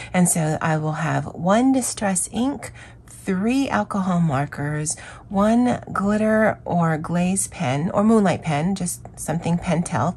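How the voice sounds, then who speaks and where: A hoarse woman with a scratchy voice hosting a chatty, rambling coloring vlog. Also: low-pitched, darkish tone. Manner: chatty, rambling, with fillers and self-corrections. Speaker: craft channel host. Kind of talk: casual coloring vlog chat.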